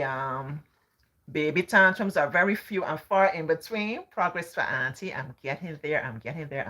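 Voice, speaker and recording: Barbadian woman, live-stream host; deep, calm, sing-song delivery. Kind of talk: casual live-stream talk.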